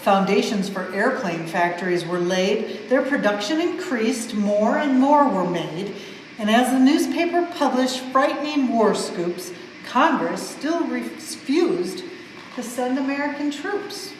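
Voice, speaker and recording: A woman reads a storybook aloud for children with expressive, measured emphasis on the rhymes. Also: on the high side. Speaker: museum staff member reading a story aloud. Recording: very echoey large hall, some noise.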